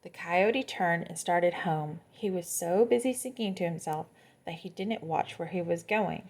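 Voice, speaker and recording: A woman's voice: on the low side, a little slow, darkish tone. A woman storyteller reading a children's story aloud, with explanatory asides to young listeners.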